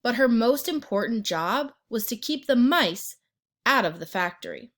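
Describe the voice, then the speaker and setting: A woman narrating a children's picture book as an expressive read-aloud storyteller. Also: a little high. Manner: pauses to ask the listener questions and point out pictures.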